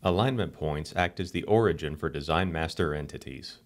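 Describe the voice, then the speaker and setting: A man with a very deep voice narrates a software screencast. He reads a script in a calm, even, instructional way, walking through each step without hurry. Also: a little fast.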